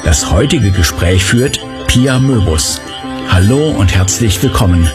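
A man's voice: on the low side, a little slow. A man reading the scripted podcast intro as its announcer, with music playing behind him. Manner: lively, announcing. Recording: announcer over clear music.

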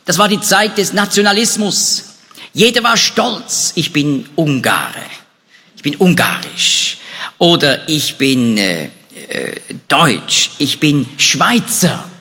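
Animated man lecturing in an echoey hall. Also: on the high side. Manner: lively, animated delivery with hesitations. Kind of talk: lecture on European history.

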